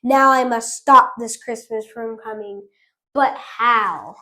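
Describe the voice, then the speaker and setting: Storybook villain: sneering, plotting male creature who loathes the holidays, read by a woman; high-pitched, slow. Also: bright, forceful tone, slight background noise. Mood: scheming. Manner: snarling, scheming. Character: grumpy Christmas-hating male creature.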